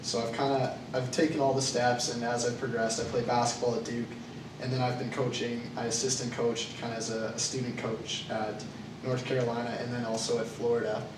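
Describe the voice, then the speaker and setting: Young male student as nervous job applicant, monotone and halting, recorded from across a noisy room. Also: medium pitch. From Canada. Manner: hesitant, with frequent uh and um fillers. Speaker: job candidate in a mock interview. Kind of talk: student role-play job interview. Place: classroom. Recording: echoey room, far from the mic, noisy.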